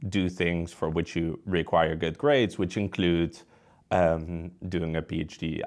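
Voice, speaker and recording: A man with a Dutch accent, a researcher giving conversational, explanatory answers as an interview guest. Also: sits low. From Netherlands.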